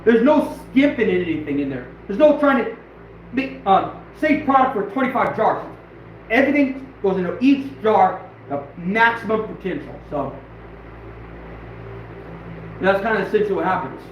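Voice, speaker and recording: Hoarse man talking from far away. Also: high voice, moderate pace, long pauses, slight background noise. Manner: rambling, laughing, blunt and excitable. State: hoarse, losing his voice. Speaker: trapper and channel host. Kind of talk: casual livestream chat. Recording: very echoey, distant, dull and lo-fi.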